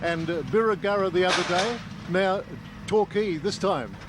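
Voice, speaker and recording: An Australian man works as a field reporter for television news. His voice sits a little high, and he presents and puts questions on location, with distinct urban outdoor noise behind him. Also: moderate pace. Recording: noisy outdoor location with vehicle ambience.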